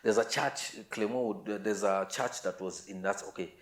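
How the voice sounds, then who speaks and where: A man with a Kenyan accent and a fairly low voice tells his story in a casual, conversational way. He starts and restarts phrases and mixes in some Swahili. The sound is clearly roomy and echoing. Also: fairly even intonation. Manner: halting, repetitive, mixes in Swahili words. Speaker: musician, interview guest.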